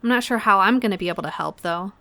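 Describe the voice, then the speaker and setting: A woman's voice: mid-range voice, fast, bright, forceful tone. A woman acting as a troubled, guarded young woman who stays polite, in naturalistic audio drama dialogue. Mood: polite, wary.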